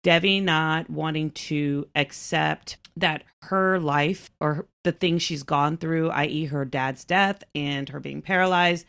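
A woman with a very low voice and a gravelly fry chats on a podcast. She sounds relaxed and agreeable, sprinkling her sentences with casual fillers as she talks through an episode. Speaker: podcast co-host. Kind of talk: casual chat discussing a TV show.

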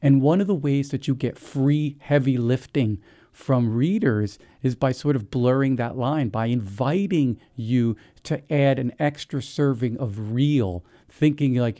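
Mellow-voiced man musing casually. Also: mid-range voice. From New Jersey, USA. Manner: reflective, casual slang mixed with literary phrasing. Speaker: author, interview guest. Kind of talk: relaxed conversational interview.

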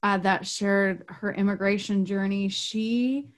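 A woman's voice: medium pitch, fairly even intonation, takes it slowly. A young adult woman hosting a podcast interview, upbeat and laughing often in casual chat. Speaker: podcast host.

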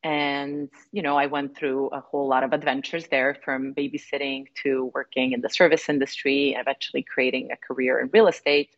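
A woman's voice: extremely low pitch, level tone, fairly quick, hardly any pauses, no echo, muffled recording. A woman in her forties from Serbia, a relationship coach speaking reflectively in an interview, with many fillers and restarts. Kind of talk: conversational interview.

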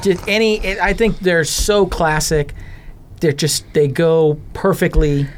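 A man's voice: fairly high pitch, fairly even intonation, slow pace. A man chatting casually and emphatically about sneakers as a guest on a podcast. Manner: enthusiastic, emphatic. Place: podcast studio.

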